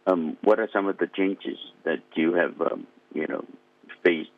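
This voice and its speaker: A radio host, a man with a very low, gravelly voice, asks his questions in a measured, halting way. He is heard over a tinny phone line. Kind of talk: radio interview.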